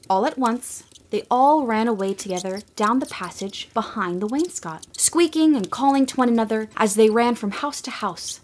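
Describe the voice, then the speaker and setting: A woman narrating a storybook audio drama in a gentle, measured way. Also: on the high side.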